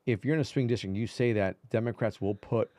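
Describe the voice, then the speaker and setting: A man's voice: fairly low pitch, fast, dark tone, dry recording. A man speaking as a veteran reporter and interview guest, in casual conversational talk full of repetition and asides.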